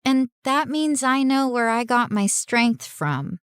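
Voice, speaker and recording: A woman playing a caring, plucky teenage daughter in an animated story, earnest and expressive. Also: high voice, takes it slowly, clear, resonant tone, dry recording, clean studio sound, heavily acted. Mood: reassuring.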